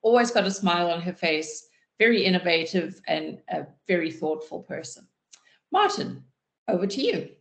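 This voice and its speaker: A woman with a low, gravelly voice hosts an online panel on a video call. She speaks in an unhurried, welcoming way. Her voice rings in an echoey room. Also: sing-song. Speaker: community host and moderator.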